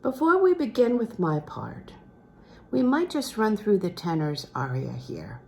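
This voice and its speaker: A woman's voice: medium pitch, sing-song, a little slow, creaky, darkish tone, pitched above the performer's own voice. A woman voicing a courteous, assured male concert singer and teacher in a novel reading, with a genteel, instructing manner. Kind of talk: storybook character reading from a literary novel.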